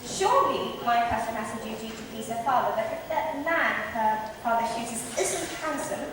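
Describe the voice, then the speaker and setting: A woman's voice: fairly high pitch, expressive, normal speed, natural voice. A woman in an amateur stage play, playing a witty, outspoken young woman with a sardonic, emphatic delivery. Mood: witty, defiant. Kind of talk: amateur stage acting of modernized Shakespeare. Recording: distant, very echoey hall, faint noise.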